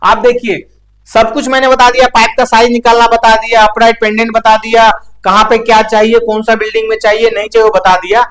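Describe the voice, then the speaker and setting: A man's voice: very high voice, level tone, fairly quick, fairly bright tone, low-quality recording. A male instructor talks through practical technical material in an informal way. He explains things step by step and often adds short check-in tags to keep the learner following.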